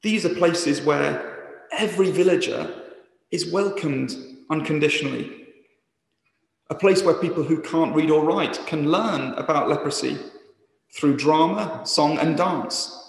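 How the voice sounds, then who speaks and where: A man's voice: a little high, unhurried, creaky. A man speaking to a church as a charity's guest speaker, giving an appeal talk. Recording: echoey church hall.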